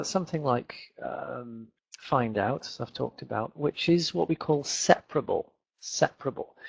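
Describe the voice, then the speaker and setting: Man in his 30s from Leeds with a Northern English accent, English teacher on a webinar, unhurried, dry close sound. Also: medium pitch, vocal fry, darkish tone, no room sound. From England. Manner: chatty, repeats words, many fillers. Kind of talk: online webinar lesson. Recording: dull lo-fi webinar audio.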